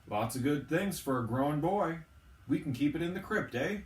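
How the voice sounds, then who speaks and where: A man voicing a reserved, worldly undead gentleman in a storybook reading, dry and matter-of-fact. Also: fairly high pitch, expressive, moderate pace, natural voice. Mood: helpful. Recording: roomy, echoing, distant from the mic.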